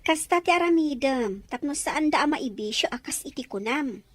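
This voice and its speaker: A woman's voice: high-pitched, expressive, moderate pace, no echo. A woman playing a practical confidante giving advice in an Ilocano radio drama, with an Ilocano accent. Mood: advising.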